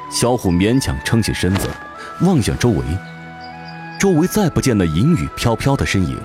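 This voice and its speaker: Man, low voice, very varied intonation, dramatic measured narration, audiobook style, music underneath. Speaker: narrator, voice actor.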